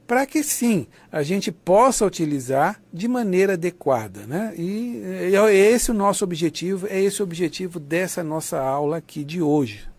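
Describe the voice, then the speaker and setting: Higher-voiced Brazilian man giving a lecture. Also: a little mellow. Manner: didactic, conversational, often seeks confirmation with tags. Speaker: lecturer of an academic writing course. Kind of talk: online lecture, informal explanation.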